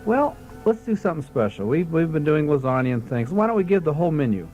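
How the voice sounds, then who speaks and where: A man's voice: on the high side, sing-song, fast, a little mellow, faint music behind. A man chatting casually as a business-owner guest in a radio promo. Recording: dull lo-fi archive radio recording.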